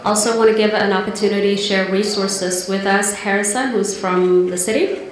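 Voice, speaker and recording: A woman hosting a community forum, briskly thanking and introducing speakers into a handheld mic, mixing English and Somali. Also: mid-range voice, steady tone. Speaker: event host. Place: large indoor event hall. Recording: very echoey hall with crowd behind.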